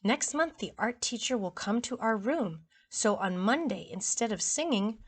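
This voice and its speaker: A woman's voice: on the high side, lively intonation, normal speed, husky. A woman voicing a strict, bossy first grade teacher from a storybook, firm and emphatic in her instructions. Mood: instructing.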